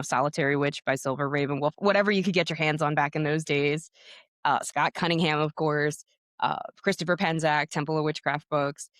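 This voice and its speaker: A woman's voice: sits low, a bit bright, dry recording, clean studio sound. Chatty Pennsylvania woman in her thirties. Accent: Pennsylvania American. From United States, Pennsylvania. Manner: chatty and talkative, full of "like" and "you know". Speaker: guest author and podcaster. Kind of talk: casual interview chat.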